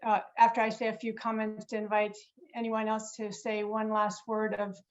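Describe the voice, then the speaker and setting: A woman's voice: medium pitch, monotone, normal speed, dull audio. A woman moderating a panel discussion, earnest and reflective, often restarting her phrases. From Korea. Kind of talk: webinar moderation. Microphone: video call.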